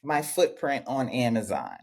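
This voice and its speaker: A woman's voice: unhurried, creaky. A woman hosting a casual talk show with a co-host, chatting animatedly and swearing freely, from Rhode Island. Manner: animated, swears casually, big pitch jumps. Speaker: podcast host. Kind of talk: casual chat.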